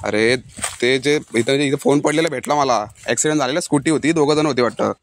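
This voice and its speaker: A man's voice: mid-range voice, brisk, slight background noise. A young man with a Maharashtrian accent making prank calls in a casual, conversational style. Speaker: prank caller in a vlog. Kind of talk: casual phone chat.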